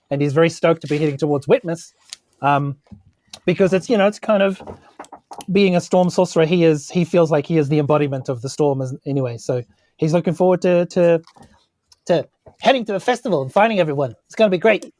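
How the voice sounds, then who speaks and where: A man's voice: a little high, darkish tone. A man casually introducing himself as a podcast guest player in a rambling, self-deprecating way.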